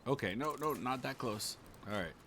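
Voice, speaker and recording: A man's voice: medium pitch, animated, normal speed, fairly bright tone, a little hiss or hum. A man giving laid-back, casual commentary as he plays a game, thinking aloud. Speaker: gaming YouTuber. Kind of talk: casual let's play commentary.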